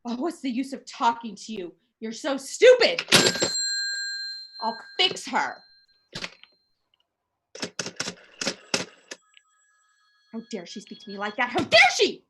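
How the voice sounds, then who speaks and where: A woman's voice: fairly high pitch, very animated, moderate pace, very noisy. A woman playing a nervous, demanding bedridden invalid in a radio play, fretful, indignant and melodramatic. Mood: indignant, haughty.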